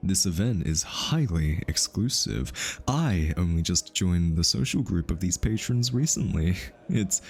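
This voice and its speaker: A man's voice: sits low, a little mellow. A man voice-acting an ASMR roleplay as a pretentious, easily flustered hitman posing undercover as a society consultant, with an arch, teasing and theatrically polite manner. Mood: smoothly polite. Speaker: voice actor.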